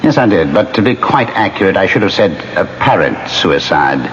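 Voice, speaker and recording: An actor playing a smug, scheming male ghost in a 1970s radio drama, suave and taunting, with deliberate, theatrical precision. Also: deep voice, sing-song, fairly bright tone. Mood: smooth, calculating. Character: smug, scheming male ghost plotting his wife's murder. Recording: dull, noisy old radio broadcast recording.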